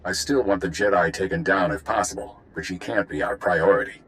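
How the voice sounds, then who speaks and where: An actor playing a sober spy commander in a video game, giving orders in a clipped, official manner. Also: male voice, low-pitched, fairly quick, a bit bright, low-quality recording. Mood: grave, businesslike. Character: sober, authoritative male spy base commander. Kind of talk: video game cutscene acting.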